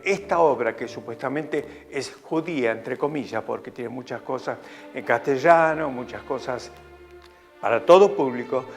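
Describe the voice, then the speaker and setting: A man speaking in an interview in a conversational way, sometimes searching for words. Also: mid-range voice.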